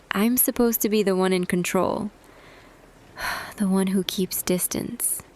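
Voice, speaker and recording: A woman playing a tender female boss confessing love to an employee in an intimate roleplay audio, hushed and hesitant, slipping between whisper and soft voice. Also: mid-range voice, gravelly. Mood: yearning, resolved.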